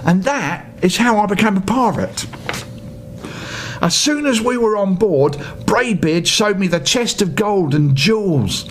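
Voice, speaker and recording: A man's voice: lively intonation, unhurried. An older man reading a children's story theatrically, with playful asides to the listener. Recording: noisy home recording with rumble.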